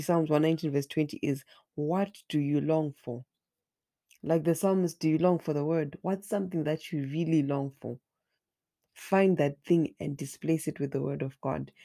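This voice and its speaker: Hoarse, raspy woman leading Bible study. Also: low voice, warm tone. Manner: earnest, repeats words while searching for them. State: hoarse from a flu. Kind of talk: online Bible study teaching.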